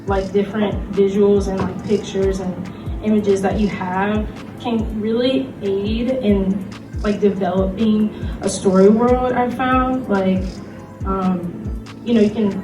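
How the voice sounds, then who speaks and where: Female convention panelist, mid-pitched, informal and filler-heavy delivery, recorded at a distance in a reverberant room. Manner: hesitant, conversational, frequent "like" as filler. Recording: echoey room from far off, music behind.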